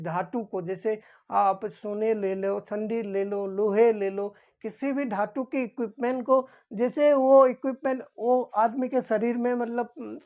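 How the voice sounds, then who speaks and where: A woman's voice: a little high, a little mellow. A woman explaining things as a teacher, repeating key terms as if dictating them. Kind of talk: explanatory lesson for security guards.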